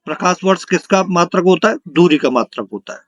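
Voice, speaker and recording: High-pitched male exam teacher. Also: expressive, brisk, fairly bright tone, no echo, muffled recording. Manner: instructional, repeats questions and answers for emphasis. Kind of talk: exam question lesson, reading questions and answers.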